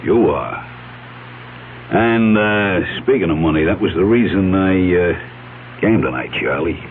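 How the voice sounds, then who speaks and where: An actor playing a shady, rough-talking ex-con blackmailer in a slangy tough-guy drawl for a radio drama. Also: low-pitched, expressive, measured pace. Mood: sly, unhurried. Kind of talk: 1970s radio drama acting. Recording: lo-fi phone-like old radio, faint hum.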